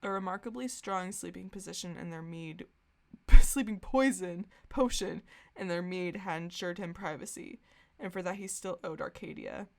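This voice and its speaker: A young woman with a fairly low voice and plenty of vocal fry. She co-hosts a podcast, reading aloud and talking in a loose, informal, amused manner. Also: normal speed. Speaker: podcast co-host. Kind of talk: casual reading aloud of fan fiction and chat.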